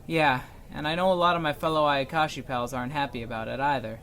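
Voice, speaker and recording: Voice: mid-range voice, steady tone, quick pace, fairly bright tone, lightly acted. Casting: performer as easygoing anime firearms mentor, encouraging and frank, casual delivery, noisy ambience behind. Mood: candid, relaxed. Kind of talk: fan-made anime audio drama acting.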